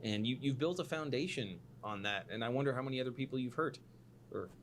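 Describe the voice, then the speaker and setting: A man's voice: medium pitch, quick pace. A man giving informal opinion commentary in a critical, earnest manner, heard from a played video with slight background noise.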